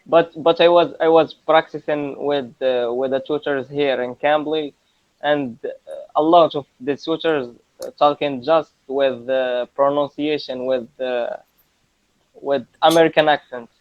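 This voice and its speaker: A man in his twenties with a Moroccan accent, practising English conversation casually in halting learner phrases, often repeating words, over a lo-fi internet call. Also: a little high, fairly even intonation, dry recording. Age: 20s. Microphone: video call. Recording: dull lo-fi internet call audio.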